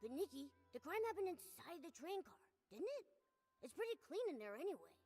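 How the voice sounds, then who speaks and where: An actress voicing a small, lively, questioning companion creature in a video game. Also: female voice, sits high, a little fast, long reverb tail, soft background music. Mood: curious.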